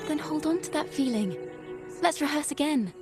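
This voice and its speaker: A woman's voice: high voice, animated, moderate pace, over music. An actress playing a poised young woman bard in a video game, encouraging and poetic in manner.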